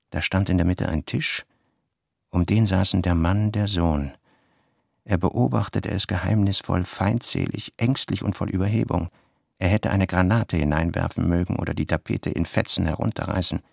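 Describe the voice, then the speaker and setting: Brooding novelist narrator for a literary radio play: gravelly, rough-edged, deliberate, reading out working notes. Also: male voice, deep voice, dry recording, studio quality, exaggerated voice.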